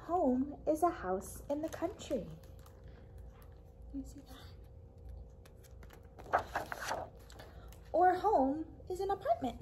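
A young woman with a high, mellow voice reads a children's picture book aloud. She goes slowly and expressively, stopping to ask little questions. There is faint noise behind her. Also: lively intonation. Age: young adult. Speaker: children's librarian reading aloud. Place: small indoor library room with a puppet theatre.

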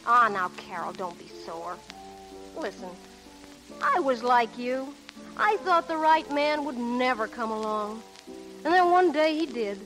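A woman's voice: a little high, very animated, unhurried, a bit bright. An actress playing a sardonic, slangy showgirl comedienne in a 1930s radio play. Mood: wistful. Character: wisecracking brassy showgirl comedienne. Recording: vintage 1930s radio, music, crackle.

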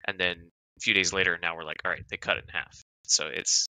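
A man's voice: low-pitched, fairly even intonation, fast, vocal fry, bright, forceful tone, no room sound, poor audio. A man co-hosting a podcast over a remote call in a casual, conversational way. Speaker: podcast co-host.

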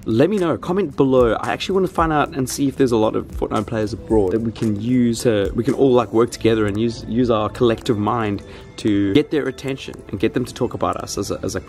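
A South African man vlogs in an informal, rambling way, talking to a camera held at arm's length. His voice sits at a middling pitch, and soft music plays behind him. He sounds like he is thinking out loud to his viewers. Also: fast. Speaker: gaming vlogger and host. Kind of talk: casual vlog chat to camera.

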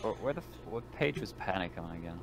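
A man's voice: low-pitched, lively intonation, a little fast, noisy background. A man playing a tabletop game, chatting casually in short, blunt, sweary remarks. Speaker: player.

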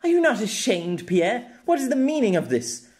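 A man's voice: very high voice, very animated, normal speed, darkish tone, pitched above the performer's own voice. A man voicing an imperious, theatrical young woman in an audiobook, speaking in a formal, pompous manner. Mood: indignant. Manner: formal, pompous, overly dramatic. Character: youthful silver-haired woman, imperious, theatrical, childishly gleeful. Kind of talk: amateur audiobook character acting.

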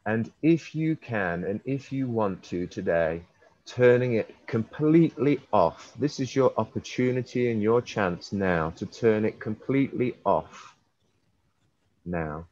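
A man leading a guided hypnosis session as a hypnotherapist, using repetitive, drawn-out and emphatic suggestive phrasing. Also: fairly low pitch, expressive, a little mellow. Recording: roomy, slightly dull recording.

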